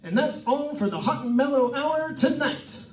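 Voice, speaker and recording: A man's voice: extremely high pitch, lively intonation, moderate pace, recorded from a distance. A man playing a jovial, chummy radio disc jockey in old-time radio drama style, with showy patter. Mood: chummy, upbeat. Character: Smiley Smith, excitable jovial male radio disc jockey. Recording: roomy stage pickup from afar, music behind.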